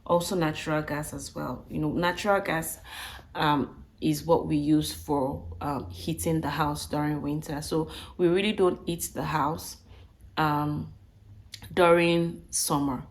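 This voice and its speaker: A woman with a croaky voice, recovering from flu, chatting casually to viewers and often repeating and rephrasing as she goes. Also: low voice, fairly even intonation, measured pace. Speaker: vlogger. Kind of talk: casual budget breakdown talk to viewers.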